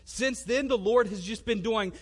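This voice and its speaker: A young man in his twenties with a high-pitched voice tells a personal testimony. He speaks conversationally, in casual everyday wording, and acts out remembered exchanges as part of the story. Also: moderate pace. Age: 20s. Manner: conversational and colloquial, acting out remembered dialogue.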